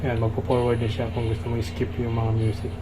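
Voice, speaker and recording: A man's voice: mid-range voice, monotone, brisk, background noise. A man with a Filipino accent demonstrates a product step by step in a casual, instructional way.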